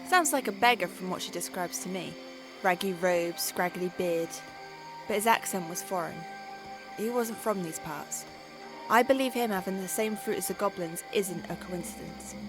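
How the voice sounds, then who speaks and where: Casting: actress as female half-elf party scout, practical and plain-spoken, medium pitch, music under the fantasy dialogue. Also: natural voice. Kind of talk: fantasy audio drama acting.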